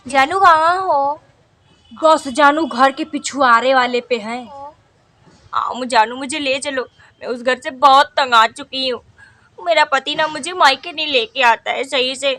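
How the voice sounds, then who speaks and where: A sharp-tongued young wife, quick to temper and full of schemes, talks in a high, bright voice, as a woman plays her. Her has a lilt. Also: dry recording. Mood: sweet. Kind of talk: comedy skit.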